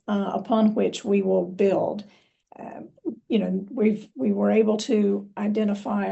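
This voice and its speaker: A woman giving a prepared presentation as a healthcare executive, measured and punctuated by ums and uhs. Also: mid-range voice, creaky, dark tone.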